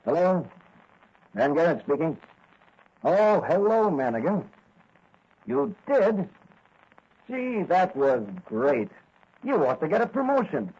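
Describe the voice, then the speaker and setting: An actor in a 1940s radio serial playing a righteous young rookie cop who fights crime in a mask. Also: male voice, high-pitched, very animated, takes it slowly, long pauses, darkish tone, breathy edge, full character voice. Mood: eager, friendly. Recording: dull, lo-fi old radio recording.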